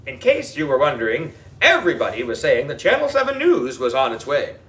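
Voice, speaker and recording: A man's voice: medium pitch, huge pitch swings, fairly quick, fairly bright tone, distant mic. A man narrating a children's audiobook in a playful, exaggerated storytelling manner. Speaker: audiobook reader.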